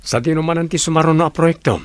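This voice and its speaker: A man's voice: a little high, fast talker, no room sound, close to an everyday voice. A man with an Ilocano accent playing a devoted, generous young village suitor in a radio drama. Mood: confident.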